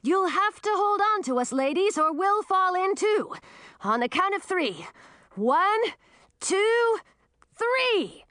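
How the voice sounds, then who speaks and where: Woman playing an urgent, high-strung commanding man. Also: sing-song, a little slow, no echo, clean studio sound, exaggerated voice. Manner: shouting orders, emphatic. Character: alarmed, commanding man, son of the queen. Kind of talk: audiobook character voice.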